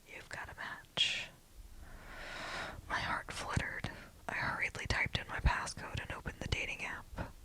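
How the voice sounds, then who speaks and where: A storyteller whispering a first-person story in a soft, hushed voice throughout. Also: low-quality recording.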